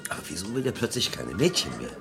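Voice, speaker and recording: Gravelly, low-voiced police detective. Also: male voice, sing-song, fast. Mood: casual. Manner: casual, probing, conversational questioning. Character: wry, probing male British police detective telling his case. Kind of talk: German radio crime play acting and narration. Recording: vintage radio play with faint music.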